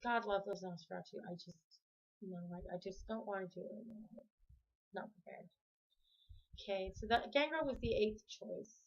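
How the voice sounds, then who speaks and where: A chatty woman talks to camera from her home, wandering through her thoughts and stopping to fix her words mid-sentence. Her voice sits at an ordinary middle pitch. The sound is dull and lo-fi, like a basic home video. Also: normal speed. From Canada. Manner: rambling, self-correcting, chatty. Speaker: vlogger. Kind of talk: casual talk to camera. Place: home room with the TV on.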